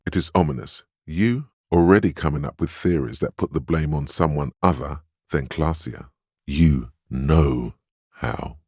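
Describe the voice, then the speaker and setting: The inner voice of willpower in a video game. It is an extremely deep, slightly husky male voice, stern and weary, recorded dry and close. It narrates and admonishes deliberately, with grave, wry protectiveness. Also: a little mellow, no echo, full character voice. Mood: stern, wary. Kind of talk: dramatic second-person game narration and inner-voice dialogue.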